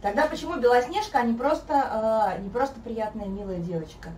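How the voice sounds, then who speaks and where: A woman in her thirties, a guest on a sofa in a living room, talking in a thoughtful, measured way, recorded by a camera across the room. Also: a little high, a little mellow. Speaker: guest, fairy-tale therapist. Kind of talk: casual conversation. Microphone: fixed camera across the room. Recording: echoey room, mic at a distance, faint hiss.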